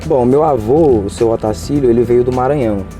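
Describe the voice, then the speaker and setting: A man's voice: medium pitch, a little fast, warm tone, soft background music. A man, answering interview questions earnestly and hesitantly. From Tocantins, Brazil. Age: 20s. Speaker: interviewee, law graduate.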